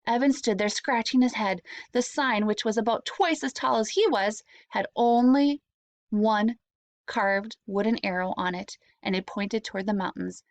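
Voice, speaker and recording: A woman's voice: medium pitch, moderate pace, no room sound. A woman, an elementary school teacher, reading a children's storybook aloud expressively, lingering on key words.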